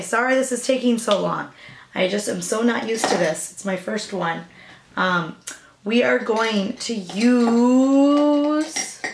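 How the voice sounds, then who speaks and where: A young woman with a raspy voice, chatting casually through a makeup tutorial as a new beauty vlogger. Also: a little high, roomy sound, slight background noise. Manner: chatty, hesitant, with fillers and apologies.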